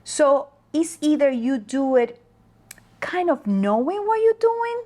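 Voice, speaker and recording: A woman from the Dominican Republic, a native Spanish speaker, talking as a guest about leadership in a slow, thoughtful way with pauses and fillers. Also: sits high, very animated, dark tone. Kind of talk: interview conversation.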